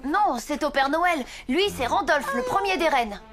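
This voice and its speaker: A woman's voice: sits high, expressive, unhurried, loud music behind the voice. A woman voicing a kind, eager young orphan boy in a cartoon, earnest and friendly. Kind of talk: animated cartoon voice acting.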